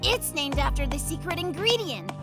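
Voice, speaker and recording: A woman's voice: high-pitched, very animated, moderate pace, over music. A woman voicing a wicked young cartoon pegasus filly, smug and impatient, with a taunting, snappy manner.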